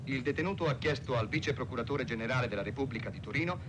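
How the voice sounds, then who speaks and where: A male reporter reads a formal scripted bulletin at a medium pitch. The recording is clearly noisy and rumbling, like an old radio broadcast. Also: fast talker. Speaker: news reporter.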